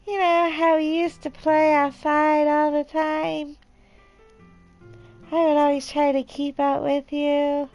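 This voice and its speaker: A woman voicing a sweet, clumsy, sentimental teenage girl in a visual novel read-aloud, with soft, earnest delivery. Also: sits high, level tone, slow pace, muffled recording, soft background music, voice pushed up. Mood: wistful, tender.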